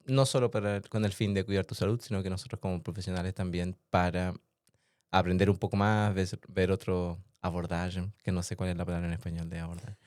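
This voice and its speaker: A chatty man hosting a podcast, with a very low voice, recorded dry and close. He talks casually and laughs easily between his questions. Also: level tone, darkish tone, no echo. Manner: chatty, laughs often, quick asides. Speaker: podcast host and massage therapist. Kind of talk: casual interview chat.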